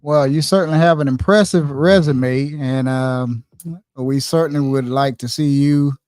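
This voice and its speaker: Guy hosting a podcast, mid-pitch voice, lots of uhs, dry sound. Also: steady tone, a little slow, darkish tone, clear, resonant tone, no room sound. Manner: hesitant, many fillers and restarts. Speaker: podcast host. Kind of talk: interview hosting.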